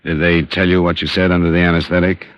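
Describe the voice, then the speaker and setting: An actor playing a tough, dogged insurance investigator in 1950s radio drama style, clipped, deliberate and wry. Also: male voice, sits low, level tone, no room sound, lightly acted. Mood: hard, pressing. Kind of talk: 1950s radio drama acting, first-person crime narration. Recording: dull old radio recording with music.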